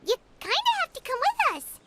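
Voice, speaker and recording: Squeaky, childlike fairy companion. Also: female voice, wildly swooping pitch, a little fast, full character voice. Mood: persuasive. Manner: refers to self in third person, bubbly, eager. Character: small chirpy childlike fairy companion, cheerful and talkative. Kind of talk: video game voice acting.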